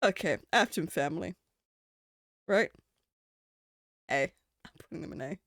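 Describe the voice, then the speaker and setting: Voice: mid-range voice, animated, unhurried, creaky, a bit bright, no room sound, clean studio sound. A streamer with a New Zealand accent, chatting casually on a live stream, rambling and swearing. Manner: rambling and swearing, with frequent laughs.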